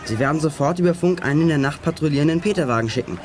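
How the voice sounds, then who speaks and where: Brisk, high-pitched boy detective leader played by a man. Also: a little hiss or hum, lightly acted. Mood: brisk, planning. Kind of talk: German children's audio drama acting.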